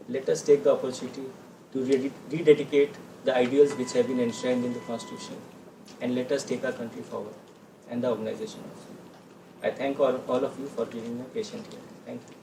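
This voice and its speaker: A man's voice: mid-range voice, steady tone, brisk. A man with an Indian accent giving a formal ceremonial speech as a company official. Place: corporate hall. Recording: echoey hall, distant mic, faint noise.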